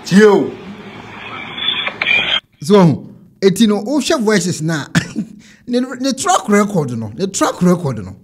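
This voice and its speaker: Commentator, male, thirties, Ghanaian accent. Casual reaction style, very lively pitch, rough-edged voice. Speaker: reaction show host. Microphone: desk microphone, close.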